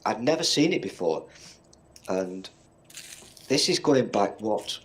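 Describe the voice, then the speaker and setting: Low-voiced, blunt Northern English man. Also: lively intonation, slow, a bit bright. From Northern England. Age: middle-aged. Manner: blunt, swears freely, meandering storytelling. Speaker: podcast co-host. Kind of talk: casual chat.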